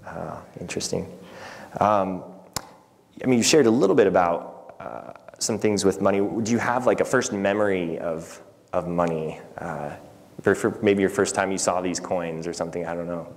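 A man in his thirties leads a church interview in a conversational way. His voice sits low, and the hall adds a long reverb around it. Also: moderate pace, vocal fry. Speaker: pastor hosting an interview. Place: indoor church sanctuary.

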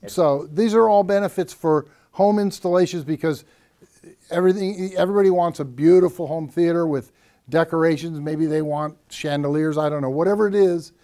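An older man with a fairly high, soft-edged voice pitches a product. He talks off the cuff in plain, colloquial words, like a practiced salesman. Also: normal speed. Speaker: co-presenter of a home theater system. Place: padded, treated listening room indoors.

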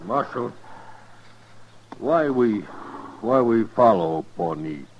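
Slow, terse army scout. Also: male voice, lowish voice, animated, a little hiss or hum. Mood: puzzled, terse. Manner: clipped broken English, terse. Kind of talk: 1950s radio western acting. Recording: dull lo-fi old radio recording.

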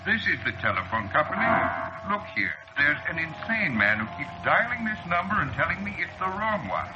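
An actor playing an officious, fussily polite undertaker with a plump, rounded voice, in 1940s radio style. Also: male voice, fairly high pitch, big pitch swings, fairly quick, over loud music. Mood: exasperated. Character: officious funeral parlor undertaker, unctuous, easily exasperated. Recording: muffled, very noisy old radio recording.

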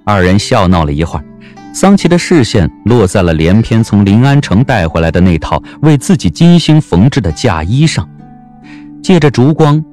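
A man with a low voice narrates a historical romance. His storytelling is expressive and very varied in pitch, and music plays faintly underneath. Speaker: audiobook narrator. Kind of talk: scripted narration, historical romance audio drama.

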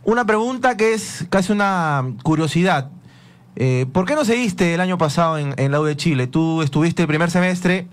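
Slightly high radio co-host. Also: male voice, moderate pace.

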